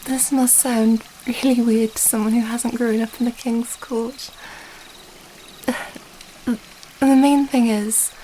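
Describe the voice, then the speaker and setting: A woman plays a young princess with a light, fairly high voice that stays soft and mellow. She talks intimately, as in an ASMR romance audio, affectionate and earnest, rambling a little hesitantly. Faint ambient noise sits behind her. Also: natural voice, low-quality recording.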